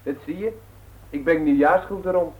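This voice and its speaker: An actor playing a smug, self-satisfied young schemer with a colloquial way of talking, in a 1960s radio play. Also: male voice, on the high side, moderate pace, breathy edge, phone-quality sound. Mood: smug, secretive. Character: sly, self-satisfied young male small-time schemer.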